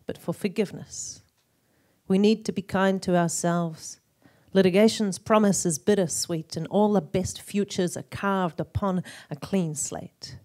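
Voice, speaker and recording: A woman with a New Zealand accent performing slam poetry, with deliberate, rhythmic phrasing and dramatic emphasis. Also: on the low side. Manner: emphatic, deliberate phrasing with swings between hushed and forceful delivery. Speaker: slam poet.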